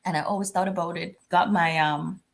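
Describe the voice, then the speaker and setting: A woman's voice: lowish voice, steady tone, brisk, vocal fry. Chatty woman from Jamaica. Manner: chatty, laughs often. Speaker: interview guest. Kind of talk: casual video call interview.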